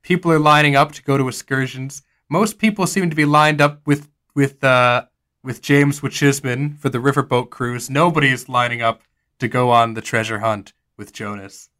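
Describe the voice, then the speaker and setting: A man's voice: mid-range voice, fairly even intonation, normal speed, bright, forceful tone. A man running a tabletop game as game master, narrating scenes fluently with small fillers.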